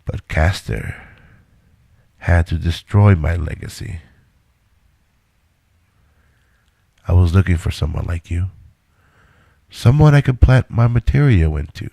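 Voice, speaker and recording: Low-voiced, self-assured male inventor. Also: measured pace, creaky, pauses often, mellow, no echo, exaggerated voice. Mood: lecturing, intent. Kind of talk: audio drama acting.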